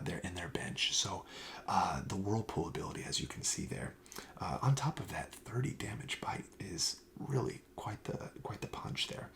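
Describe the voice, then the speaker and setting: A man speaking softly and breathily, often near a whisper, gently explaining wares as a shop clerk in an ASMR roleplay. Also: lowish voice, vocal fry. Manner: gentle, explanatory sales patter. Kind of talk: soft-spoken ASMR roleplay.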